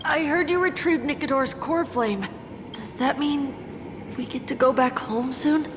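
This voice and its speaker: A woman's voice: sits high, a little slow, background noise. A woman voicing an admiring, earnest child who is proud of a warrior heritage, in a video game. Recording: reverberant, with music behind.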